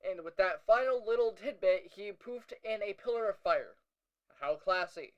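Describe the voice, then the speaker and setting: A young male hero , acted by a man, records his audio log in a high-pitched voice. He talks conversationally and a little hesitantly, as if thinking it through. His storytelling is uneasy, with dry, wry asides in the manner of an audio drama. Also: lively intonation, normal speed, muffled recording. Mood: wry, weary. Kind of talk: first-person audio log narration, conversational.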